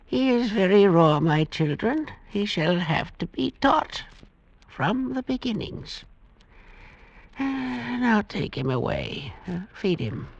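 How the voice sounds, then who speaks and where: Creaky, scornful old village patriarch. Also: male voice, high voice, dry recording, heavily acted. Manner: slow, pronouncing, condescending. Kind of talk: 1940s-50s radio drama acting. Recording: dull vintage radio broadcast recording.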